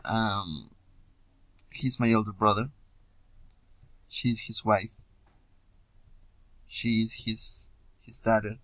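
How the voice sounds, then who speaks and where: A young man from Peru with a Peruvian Spanish accent, introducing himself informally as a student in hesitant learner English with frequent pauses. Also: fairly low pitch, fairly even intonation, a bit bright, no echo, poor audio. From Puno, Peru. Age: young adult. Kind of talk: informal self-introduction video.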